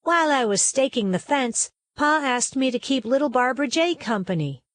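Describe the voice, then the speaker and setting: Woman as young storybook cowgirl, plucky, capable, confident, folksy, plain-spoken, slightly high voice. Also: expressive, moderate pace, dry recording, studio quality. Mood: confident, matter-of-fact.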